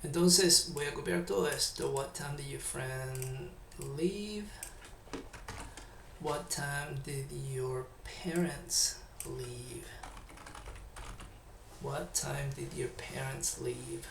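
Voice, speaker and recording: Man, Chilean Spanish accent, English teacher, patient and slow, gravelly voice, echoey distant mic. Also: medium pitch, noisy background. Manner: patient, repeats English examples slowly. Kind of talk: live online English lesson.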